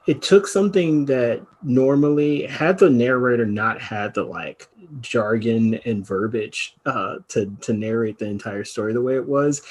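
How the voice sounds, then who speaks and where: Man, husky voice, mid pitch, reflective podcast panelist, rambling and thoughtful. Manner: reflective, winding, laughs easily. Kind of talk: casual discussion.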